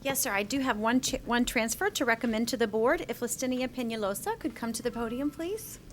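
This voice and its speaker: A woman superintendent making a formal, brisk recommendation to a school board. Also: a little high, a little hiss or hum. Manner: brisk, reads a formal list. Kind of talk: formal meeting report. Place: school board meeting room.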